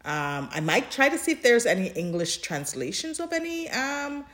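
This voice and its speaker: A woman's voice: a little high, sing-song, normal speed, fairly bright tone. A woman co-hosting a podcast in casual chat, speaking emphatically and repeating phrases for emphasis. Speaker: podcast co-host.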